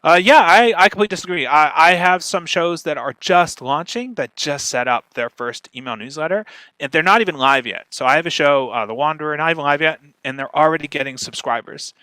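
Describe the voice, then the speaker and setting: Bright, emphatic man in a dry recording. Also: on the high side, animated, a little fast, clear, resonant tone, dry recording. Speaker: podcast growth strategist and guest speaker. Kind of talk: informal question-and-answer talk.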